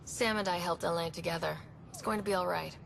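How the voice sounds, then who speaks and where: Young woman explorer leader, calm and reassuring. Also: lowish voice, fast talker, gravelly, noisy background. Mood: steady. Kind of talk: video game dialogue.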